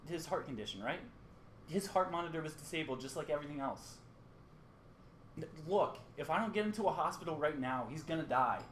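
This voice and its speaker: A grave, worried radio station colleague played by an actor in a radio drama. The voice is mid-pitched and recorded in an echoey room with faint noise. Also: lively intonation, brisk, natural voice. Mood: urgent. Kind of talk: radio play acting. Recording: roomy echo with faint noise.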